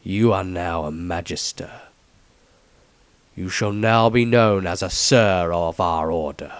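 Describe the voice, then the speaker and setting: A man voicing an aged, solemn wizard patriarch in a fantasy story, with slow, halting, weighty speech. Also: low-pitched, clean tone, dry recording, pitched below the performer's own voice. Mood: grave, awed.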